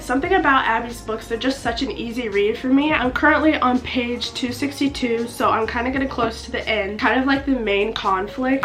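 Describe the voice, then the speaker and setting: A woman's voice: on the high side, a bit bright. A nineteen-year-old woman with a congested, stuffy voice, chatting bubbly and gushing in a casual book vlog. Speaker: book vlogger. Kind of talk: casual chat to camera.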